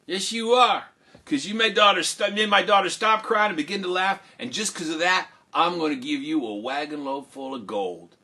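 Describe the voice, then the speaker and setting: A man voicing an excitable, grateful king in a folk tale, effusive and emphatic. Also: mid-range voice, moderate pace, hardly any pauses, voice pushed up, close to an everyday voice. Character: excitable, grateful storybook king, father of princess.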